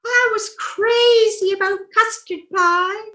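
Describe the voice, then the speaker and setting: A woman's voice: extremely high pitch, steady tone, takes it slowly, bright, forceful tone, full character voice. A woman playing a giggly, scatterbrained and innocent wife in a 1940s-style radio comedy, recorded in an echoey room. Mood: giggly, cheerful. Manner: giggly, innocent, laughing through lines. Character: Gracie, giggly scatterbrained wife, sweetly oblivious.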